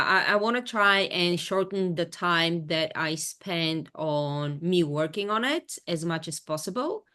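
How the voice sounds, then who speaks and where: A woman speaks with an accent mixed from many countries, her voice sitting a little low. She chats casually on a group video call. She is animated and laughs often as she tells stories. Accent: mixed international accent. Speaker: host of an online group call.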